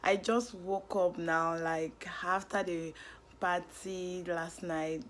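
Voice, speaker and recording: A woman's voice: on the low side, steady tone, slow pace, a little hiss or hum. A young woman with a Nigerian accent chatting casually to her vlog viewers, upbeat and talkative. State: hoarse, lost voice, tired. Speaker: vlogger. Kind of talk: casual vlog chat to camera. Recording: music playing under the voice.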